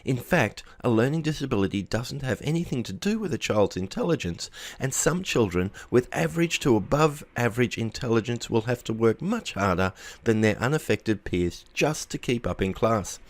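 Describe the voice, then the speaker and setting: A man's voice: mid-range voice, animated, moderate pace, hardly any pauses. A man reading a scripted article aloud, sometimes stumbling over a word and rereading it. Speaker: article reader.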